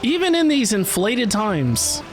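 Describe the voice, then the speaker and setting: A woman's voice: fairly high pitch, very animated, unhurried. A woman streamer chatting casually with viewers during a live game stream, full of conversational asides. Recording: stream with game music behind. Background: video game music.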